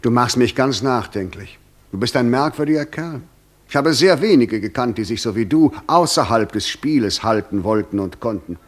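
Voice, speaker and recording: Guy doing the devil in a radio drama, proud n mocking, really animated voice, grand worldly talker. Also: mid-range voice, moderate pace, natural voice. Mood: musing, grandiose. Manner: ironic, grandiose, eloquent, amused. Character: the Devil, proud, sardonic, worldly-wise old tempter. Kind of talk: German radio play acting, theatrical.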